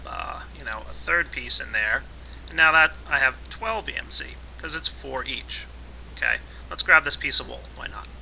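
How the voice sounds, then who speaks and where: A man with a raspy voice today, casually explaining a modded game as its let's play host, with relaxed fillers. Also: medium pitch, a little hiss or hum, low-quality recording. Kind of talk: casual gameplay tutorial narration.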